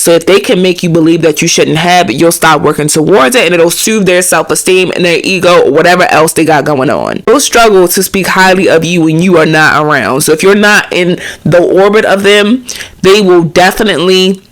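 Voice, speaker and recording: A woman hosting a casual advice talk, animated and preachy, sounding nasal from a cold. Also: fairly low pitch. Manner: animated, preachy, acts out quoted friends. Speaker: host.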